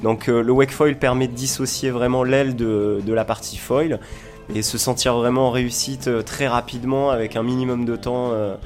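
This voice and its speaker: A man from southern France giving an informal interview as a sports instructor, explaining with enthusiasm and frequent pauses. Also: mid-range voice, little pitch movement, soft background music. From Montpellier, southern France. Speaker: foil school owner and instructor, interview guest.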